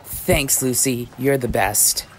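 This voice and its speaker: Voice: medium pitch, slow, a little hiss or hum, rough recording. A performer with a woman-like voice acting out an easygoing, dutiful werewolf police chief in scripted ASMR roleplay.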